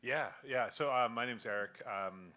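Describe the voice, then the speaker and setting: A man's voice: medium pitch, moderate pace. A middle-aged man who grew up in Sudan and Kenya, telling stories as a guest in a casual live chat at a bar, talking loosely with many restarts and fillers. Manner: relaxed storytelling with frequent restarts and fillers. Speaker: guest, company founder. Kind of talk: casual pub panel chat. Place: live bar with audience, feedback on the PA.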